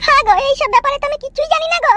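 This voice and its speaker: A man voicing a middle-aged village wife and mother in a comedy cartoon, plaintive, defensive and sharp-tongued. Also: very high-pitched, fairly even intonation, very fast, background noise. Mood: defensive, bewildered. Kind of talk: amateur comedy cartoon dub.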